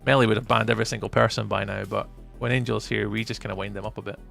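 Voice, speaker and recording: A man's voice: on the low side, little pitch movement, racing, gravelly, fairly bright tone, faint music behind. A Scottish man from near Edinburgh chatting casually and teasingly with viewers on a live stream, laughing often. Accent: Scottish, Edinburgh area. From Scotland. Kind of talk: casual live-stream chat while gaming.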